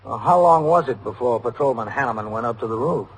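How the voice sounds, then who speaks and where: An actor playing a brisk, probing police captain in an old-time radio detective drama, questioning in an official manner. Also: male voice, medium pitch, expressive, husky. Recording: noisy vintage radio drama recording.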